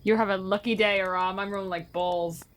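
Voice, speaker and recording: A woman with a mid-pitched voice takes part in a tabletop game. She speaks casually, with dry, teasing remarks. There is faint noise and ambience behind her. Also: steady tone, brisk.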